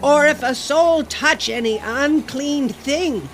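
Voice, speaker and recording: An actress playing a pious, insistent older widow with a preachy, folksy manner in a radio play. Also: female voice, sits high, slow, soft background music. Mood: fervent. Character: pious, preachy old widow pressing strangers in the subway. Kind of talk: radio drama acting.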